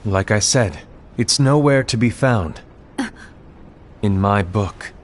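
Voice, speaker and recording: A man playing a grave, suspicious leader of a fantasy order in a game cutscene, speaking in a measured, solemn way. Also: lowish voice. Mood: troubled, solemn. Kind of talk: dramatic video game cutscene acting.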